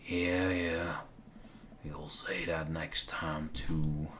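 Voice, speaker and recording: Guy doing gruff aloof lover dude from a visual novel, really low slow mumble, few words. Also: flat delivery, fairly bright tone, slight background noise, heavily acted. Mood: dry, aloof. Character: gruff, aloof, laconic man, a casual lover.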